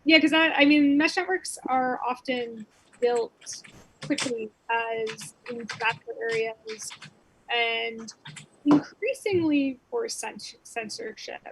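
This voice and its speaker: A woman's voice: fairly high pitch, takes it slowly, a bit bright, a little hiss or hum, low-quality recording. A woman organizing a community network, explaining things casually with many fillers and restarts over a video call. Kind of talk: casual explanation in an online meetup.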